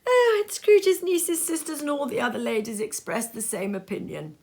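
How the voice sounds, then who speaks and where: A woman storyteller reading classic literary narration aloud in an expressive way. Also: sits high, moderate pace.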